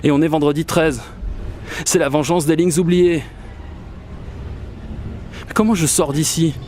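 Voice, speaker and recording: An actor playing an anxious, rambling commuter lost in a surreal metro, talking conversationally to himself in a radio fiction monologue. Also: male voice, a little high, fairly even intonation, unhurried, a little mellow, lightly acted. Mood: agitated. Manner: conversational, self-questioning, increasingly desperate. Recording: clear vehicle ambience and noise behind. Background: metro train ambience.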